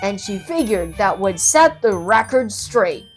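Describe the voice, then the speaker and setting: A woman reading a children's story aloud as an expressive narrator, with dramatic, rhythmic and playful delivery. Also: mid-range voice, measured pace. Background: children's music.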